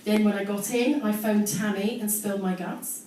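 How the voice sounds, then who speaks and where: A woman's voice: mid-range voice, steady tone, normal speed, mellow. A woman giving a live comic reading from her own teenage diary, acting out the drama with amused asides. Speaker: storyteller on stage. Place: live storytelling event venue with audience. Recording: very echoey, noisy live venue, distant mic.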